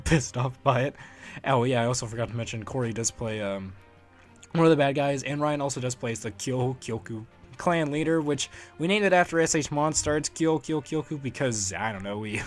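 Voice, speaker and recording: A man giving a casual, unscripted solo commentary as a creator, in a rambling, halting way and often chuckling. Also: fairly high pitch. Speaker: fan dub creator, commentator.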